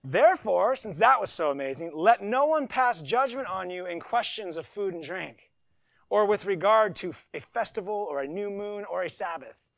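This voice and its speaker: A man's voice: high voice, expressive, normal speed, gravelly, dry recording. A man preaching a sermon, reading scripture with emphasis and breaking into conversational asides. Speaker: pastor.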